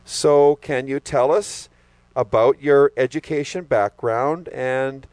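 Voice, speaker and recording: A man's voice: medium pitch, slow, a little mellow, no room sound. A man hosting an interview, asking questions in an upbeat, jokey way. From Canada. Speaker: host.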